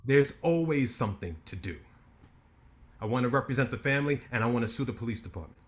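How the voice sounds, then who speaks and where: A man's voice: medium pitch, lively intonation, fast talker, lightly acted. Guy reading a cocky lawyer, sarcastic, swings his voice all over the place. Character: brash ambitious male lawyer, combative. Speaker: actor in a staged play reading. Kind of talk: stage play reading.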